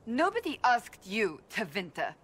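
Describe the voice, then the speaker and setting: An actress playing a proud, gruff warrior woman in a fantasy video game, clipped, defensive and emphatic. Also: medium pitch, huge pitch swings, a little slow, fairly bright tone. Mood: irritated. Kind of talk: cinematic game dialogue acting. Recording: faint background noise.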